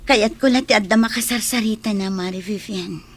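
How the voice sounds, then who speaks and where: A woman's voice: medium pitch, fairly quick, slight background noise, natural voice. A woman playing a troubled village wife in a radio drama, plaintive and worried, with an Ilocano accent. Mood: worried, sad. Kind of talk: Filipino comedy radio drama acting.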